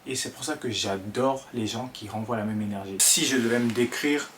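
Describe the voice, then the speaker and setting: A man in his twenties from Ivory Coast with an Ivorian French accent, talking to camera in an earnest, halting way full of fillers. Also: medium pitch, fairly bright tone, a little hiss or hum. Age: 20s. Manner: hesitant, many fillers and restarts, earnest. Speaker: vlogger. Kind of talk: casual talk to camera.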